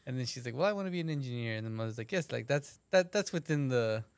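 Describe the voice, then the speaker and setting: A man's voice: mid-range voice, expressive, quick pace, smooth, dry recording. A man in casual conversation, talking in a laid-back, rambling way with casual swearing. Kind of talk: casual chat.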